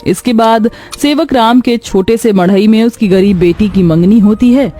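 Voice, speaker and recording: A woman's voice: fairly high pitch, moderate pace, warm tone, faint music behind. A woman narrating a scripted cartoon story.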